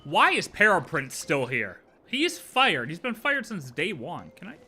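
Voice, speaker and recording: A woman's voice: lowish voice, very animated, unhurried, bright, forceful tone, breathy edge. A woman streaming a live game reaction, with sarcastic, playful running commentary.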